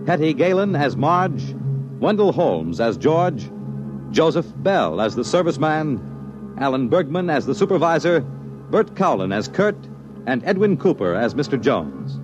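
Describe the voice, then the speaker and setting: A man's voice: fairly high pitch, big pitch swings, unhurried, lo-fi sound, over music. A man with an American accent announcing from a script in 1950s radio style.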